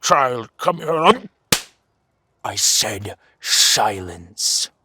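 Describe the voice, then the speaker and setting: A man's voice: medium pitch, very animated, very slow, background noise. A man acting a menacing, seductive villain king in a roleplay audio drama, with a theatrical, commanding drawl. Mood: threatening fury.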